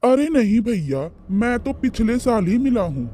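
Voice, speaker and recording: A man's voice: very high voice, sing-song, measured pace, mellow, no echo, heavily acted. A man voicing an earnest, simple village man in a children's cartoon story, worried and wronged, with plaintive rustic speech. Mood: puzzled, explaining. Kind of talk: animated children's moral story dialogue. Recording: music behind the voice.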